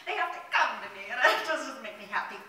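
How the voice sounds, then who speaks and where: A woman's voice: fairly high pitch, sing-song, fast, pushed and bright. A woman voicing a weepy, fickle little male caterpillar in a fairy tale, whiny and dramatic. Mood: whiny, tearful. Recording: echoey room, distant mic, faint noise.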